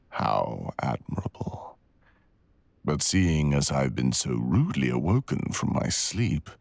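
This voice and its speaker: Man playing a very deep gravelly dragon villain. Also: no echo, full character voice. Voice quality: gravelly, breathy, sometimes whispering. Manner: menacing, mocking, teasing drawl. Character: cruel, arrogant male dragon villain, predatory and seductive. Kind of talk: intimate ASMR audio roleplay.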